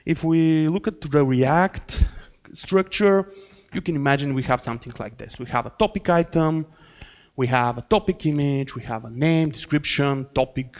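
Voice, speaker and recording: A man's voice: on the high side, darkish tone. A man with a Bulgarian accent giving a technical conference talk in a hall, explaining in a loose way with many fillers and restarts. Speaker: conference speaker.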